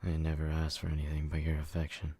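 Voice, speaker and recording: A man's voice: rumbling low, completely flat delivery, fast, darkish tone, slightly rough, dry recording, full character voice. A man playing a devoted, brooding, hot-headed but tender yandere in an ASMR roleplay, speaking with earnest intensity. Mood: pleading.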